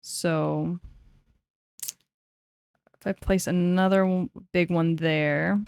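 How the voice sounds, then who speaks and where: A woman's voice: fairly low pitch, fairly even intonation, drawn-out words, gravelly, darkish tone, clean tone, no room sound. A woman in her twenties chatting casually on a game stream, laughing easily, swearing, and muttering to herself as she works things out. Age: 20s. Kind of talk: casual gaming stream chat.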